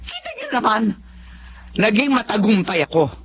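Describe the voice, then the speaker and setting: Domineering mother, animated, muffled sound. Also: mid-range voice, moderate pace. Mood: bossy, irritated. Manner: scolding, commanding. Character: domineering, proud, successful mother of grown children. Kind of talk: Tagalog radio drama acting. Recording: muffled, music clearly behind.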